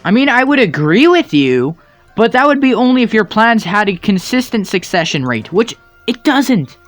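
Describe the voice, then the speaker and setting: Animated, sarcastic male game commentator. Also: high voice, normal speed, soft background music. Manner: sarcastic, nitpicking. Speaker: video commentator. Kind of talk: nitpicking comedic commentary over game cutscenes.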